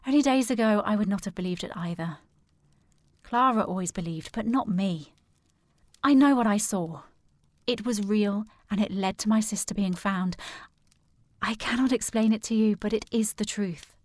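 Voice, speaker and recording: A woman performs a resolute young lady in a period audio drama. Her voice has a medium pitch. She speaks with formal poise and an earnest, well-bred air. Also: normal speed, a little mellow, no room sound, natural voice. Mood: earnest, insistent.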